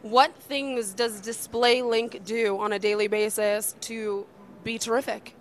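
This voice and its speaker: A woman in her thirties hosting a trade-show interview, bubbly and enthusiastic with quick, eager reactions, speaking into a handheld mic in a convention hall. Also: fairly high pitch, steady tone. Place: busy convention hall booth.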